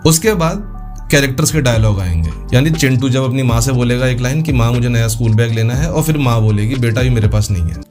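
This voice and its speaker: A man's voice: medium pitch, quick pace, over music. A man hosting a casual step-by-step tutorial. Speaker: tutorial host. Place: voice-over for a computer screen recording.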